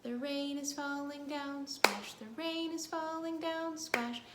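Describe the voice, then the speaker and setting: High-voiced woman leading children's storytime, echoey room. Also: fairly even intonation, takes it slowly. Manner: playful, sing-song, talking directly to young children. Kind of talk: children's storytime with rhymes and action songs. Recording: echoey room with faint background noise.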